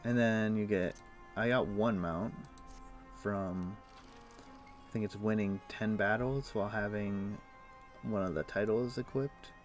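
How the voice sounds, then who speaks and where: A man's voice: lowish voice, steady tone, unhurried, long pauses, faint music behind. A man streaming a game, chatting casually and explaining things in a loose, rambling way full of fillers. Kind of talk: casual chat while playing.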